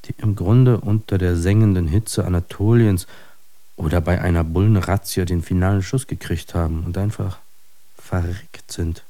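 An actor playing an embittered, disillusioned fighter in a radio drama monologue, declaiming and cursing in coarse words. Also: male voice, sits low, fairly even intonation, normal speed, mellow, no echo. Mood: brooding, weary.

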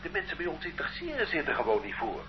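A man's voice: a little high, wildly swooping pitch, quick pace. An everyday working man being questioned, bewildered and plain; old, muffled radio recording. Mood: defensive. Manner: bewildered, defensive, plain-spoken. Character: ordinary working-class man under arrest. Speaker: suspect. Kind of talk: radio drama acting. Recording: dull lo-fi old radio recording with faint noise.

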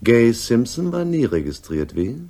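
An actor playing a gruff, sardonic police inspector in a German radio crime drama, questioning with dry authority. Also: male voice, medium pitch, sing-song, measured pace, dark tone. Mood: stern, superior.

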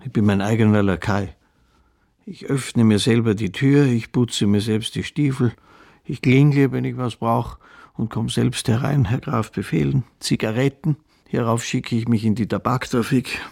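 An actor playing a ruined, bitter Austrian aristocrat in a radio play, with a gravelly, husky voice and a sardonic, declamatory manner. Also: male voice, mid-range voice, fairly even intonation, moderate pace, darkish tone. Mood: wry, self-mocking. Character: ruined, bitter, sardonic aristocratic count, theatrically self-mocking. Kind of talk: literary radio play monologue.

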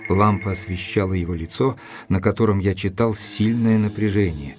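Actor as measured gentleman narrator, lowish voice. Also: lightly acted. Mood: sober, measured. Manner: measured, literary. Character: Dr. Watson, sober gentleman companion and storyteller. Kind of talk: scripted narration in audio drama.

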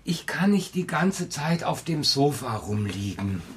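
Restless dreamer dad for children's audio drama: slow, expressive male voice, evasive, faltering, apologetic. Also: on the high side, husky, full character voice. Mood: evasive, flustered. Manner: hesitant, stammering, pleading excuses. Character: evasive, dreamy, restless father of a young girl. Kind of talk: children's radio drama acting.

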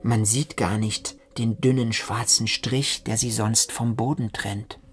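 A man's voice: lowish voice, steady tone, measured pace. A man narrating a literary radio drama in the first person. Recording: music behind the voice. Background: music.

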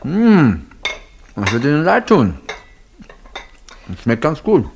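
An actor playing an outspoken, probing brother-in-law in a German radio play, speaking assertively and expressively. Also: male voice, on the high side, huge pitch swings, takes it slowly, vocal fry, background noise, heavily acted. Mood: casual, familiar. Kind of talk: German radio play acting, naturalistic dialogue.

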